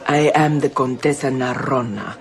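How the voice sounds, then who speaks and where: A woman playing an intense, imperious and guilt-haunted countess in a radio drama, speaking in a halting, theatrical way. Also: extremely low pitch, some chatter behind. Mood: wary, intense.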